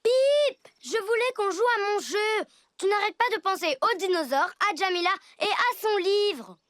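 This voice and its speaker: A performer voicing a playful little girl mouse in a French preschool cartoon, speaking in simple, expressive, childlike phrases that can turn easily put out. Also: very high-pitched, normal speed, dry recording, clean studio sound.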